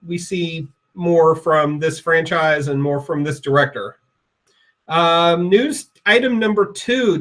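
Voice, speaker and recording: A man co-hosting a podcast and running through the news. He is upbeat and conversational and quick to get excited. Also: sits high. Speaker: podcast co-host reading the news. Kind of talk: casual podcast news rundown.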